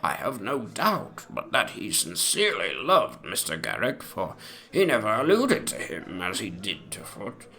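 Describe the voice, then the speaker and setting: Man acting a pompous ancient gentleman, theatrically swooping. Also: lowish voice, wildly swooping pitch, vocal fry, full character voice. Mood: pompous, gossipy. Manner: mannered, antiquated, pompous diction with drawn-out words. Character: pompous, ancient 18th-century English gentleman memoirist, fond and gossipy. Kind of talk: dramatic reading of a mock memoir.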